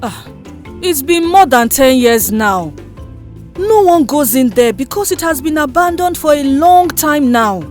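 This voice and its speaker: A woman acts out an anxious school hostel matron in a told story. Her voice is high and swings widely with emotion, pleading and fretful, over clearly audible music. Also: expressive, a little slow, darkish tone. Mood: uneasy.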